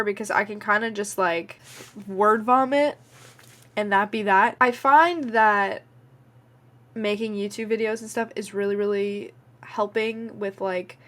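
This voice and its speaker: A woman's voice: medium pitch, a bit bright. A nineteen-year-old woman from Canada with a Canadian accent, rambling casually to camera in her vlog and filling her talk with "like" and "um". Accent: Canadian English. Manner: chatty, frequent "like" and "um" fillers. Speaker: vlogger and podcast host.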